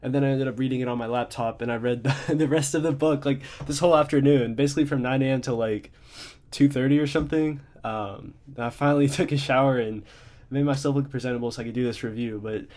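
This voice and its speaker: A man's voice: medium pitch, fairly even intonation, quick pace, darkish tone. A young man giving a casual, off-the-cuff book review, a bit congested, rambling with lots of fillers and restarts. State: congested, slightly stuffy.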